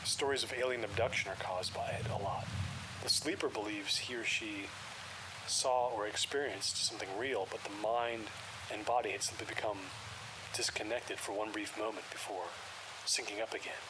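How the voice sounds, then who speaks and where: A man playing a calm, skeptical paranormal investigator who explains things in a measured, clinical way, with rain and hiss behind him. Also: lowish voice, natural voice. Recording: loud rain noise and hiss.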